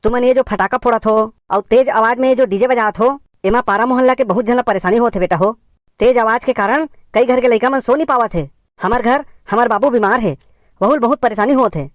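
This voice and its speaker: A woman playing a concerned village uncle in a cartoon comedy, gently lecturing in a hesitant way with a Chhattisgarhi accent. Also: on the high side, fairly quick, no room sound, studio quality, lightly acted. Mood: admonishing, earnest.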